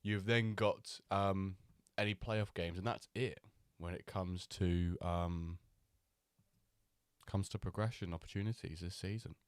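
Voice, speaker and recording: A man hosting a live stream, chatting loosely with many pauses and fillers, still a little nasal from a cold. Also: sits low, steady tone, smooth, no echo, clean studio sound. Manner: chatty, rambling, with many ums and restarts. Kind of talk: casual live-stream chat.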